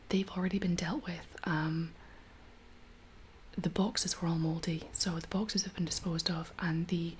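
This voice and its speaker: Female ASMR host, 30s, lowish whispery voice with a husky edge, gentle delivery, faint background noise. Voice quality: breathy, whispery, slightly husky. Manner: gentle, hushed, unhurried. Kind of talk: soft-spoken ASMR, casual chat.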